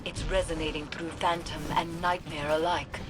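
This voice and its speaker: An actress playing a grave, measured ally giving hushed reports. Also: female voice, low-pitched, little pitch movement, noisy background, natural voice. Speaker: ally and advisor.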